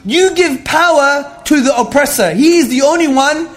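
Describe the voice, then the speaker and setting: A man with a high-pitched voice delivers an Islamic lecture to listeners. His delivery is emphatic and rhetorical, and he drops Arabic devotional phrases into his talk. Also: moderate pace, fairly bright tone. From Greek heritage. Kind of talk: religious talk to an audience, with questions.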